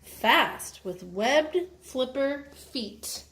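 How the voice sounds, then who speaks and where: Slow, higher-voiced woman in an echoey room. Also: lively intonation, a bit bright. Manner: instructive, sounds out letters and stretches words while reading. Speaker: mother reading along with her child and teaching sounding out. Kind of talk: read-aloud phonics lesson with casual chat. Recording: echoey room, slightly distant, faint background noise.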